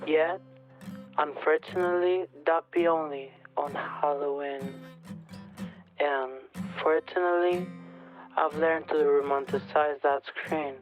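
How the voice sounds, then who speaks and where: A person reading spoken-word poetry as a recited piece. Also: mid-range voice. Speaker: poetry reader. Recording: slightly dull sound under music.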